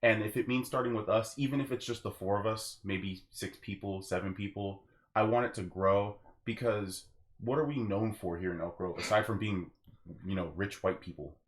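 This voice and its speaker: Male podcast host, low voice, earnest and rambling, speaking casually to his audience. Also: steady tone, moderate pace. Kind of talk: casual podcast sign-off addressed to listeners.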